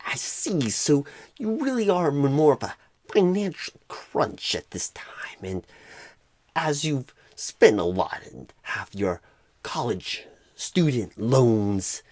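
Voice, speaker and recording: A man playing a clueless, bumbling job recruiter in an ASMR roleplay interview, with a husky voice that often slips toward a whisper and halting, rambling phrasing full of odd pauses and stresses. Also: mid-range voice, very animated, darkish tone, no room sound. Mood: probing, halting.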